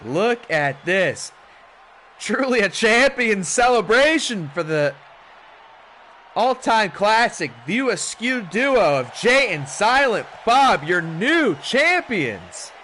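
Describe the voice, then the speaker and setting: A hoarse man gives play-by-play commentary on a wrestling video game stream. His voice is bright and lively, sitting a little high. He keeps a chatty, hyped-up delivery with faint noise behind him. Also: takes it slowly. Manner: animated, chatty hype.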